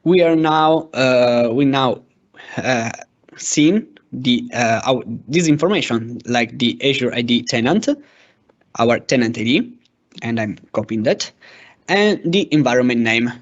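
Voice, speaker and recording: A man narrating a step-by-step software demo as a tutorial presenter, with a hesitant, halting delivery. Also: medium pitch. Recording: dull, lo-fi microphone sound.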